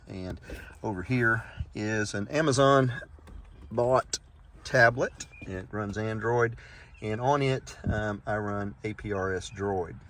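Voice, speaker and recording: Low-voiced man, halting, noisy phone recording. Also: fairly bright tone. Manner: halting, frequent throat clearing. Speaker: ham radio hobbyist presenting. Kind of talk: informal video walkaround narration. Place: around and inside a parked truck, outdoors. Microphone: handheld iPhone.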